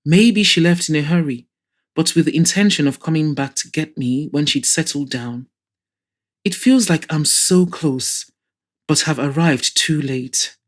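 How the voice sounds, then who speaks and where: A woman's voice: deep voice, a little slow. A woman reading the part of an anxious, hopeful, chatty young woman in an audio drama. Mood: sad, anxious.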